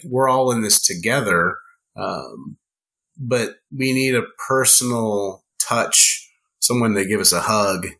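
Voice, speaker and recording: A man guest on a podcast, talking in a halting way with many fillers and restarts. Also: on the low side, sharp tone, poor audio. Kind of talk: casual chat.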